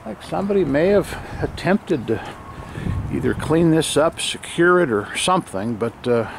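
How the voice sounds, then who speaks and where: A man's voice: fairly high pitch, expressive, normal speed, creaky. Man musing casually, noisy outdoor recording. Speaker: vlogger filming an exploration of an abandoned motel. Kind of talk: casual off-the-cuff walking narration. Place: outdoors, walking around a derelict motel lot. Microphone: handheld camera microphone, operator behind camera. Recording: noisy outdoor handheld camera, ambient roar.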